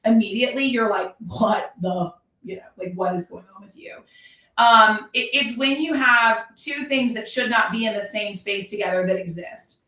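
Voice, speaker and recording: A woman's voice: fairly high pitch, moderate pace, sharp tone, distant mic. A woman talking in a conversational podcast style as host and coach, explaining emphatically with examples and repeated phrases. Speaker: podcast host and real estate productivity coach. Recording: roomy echo, away from the mic.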